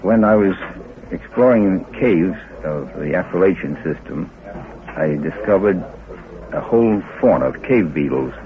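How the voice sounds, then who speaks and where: Very deep-voiced older man, halting. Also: animated. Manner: halting, searching, learned wording. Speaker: interviewed scientist, zoologist. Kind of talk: informal recorded interview. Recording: narrow-band field tape, background chatter.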